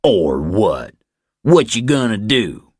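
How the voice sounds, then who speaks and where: A man's voice: lowish voice, sing-song, slow, darkish tone, breathy edge, no echo, studio quality, voice pushed up, exaggerated voice. Man putting on a menacing barroom bully. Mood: taunting, aggressive. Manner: menacing, taunting. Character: aggressive, meaty heavyweight Texan barroom bully.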